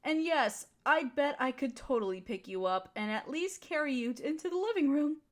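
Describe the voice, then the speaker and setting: Casting: actress as affectionate, softly dominant female partner for intimate audio roleplay; high, very animated, teasing and comforting. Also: normal speed. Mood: playful, teasing. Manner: flirty, soothing, playfully teasing.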